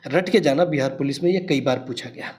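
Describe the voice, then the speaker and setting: A man's voice: on the high side, a little fast, darkish tone. A man teaching exam questions, speaking emphatically and repeating key points as he addresses students familiarly. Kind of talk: quiz lesson explanation for exam students.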